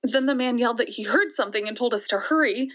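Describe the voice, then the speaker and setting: A woman playing a shaken young woman who recounts a frightening night in an audio drama, halting and conversational, with hesitations and restarts. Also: fairly high pitch, close to an everyday voice. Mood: tense, hesitant. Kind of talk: first-person dramatic storytelling in an audio drama. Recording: dull, lo-fi sound.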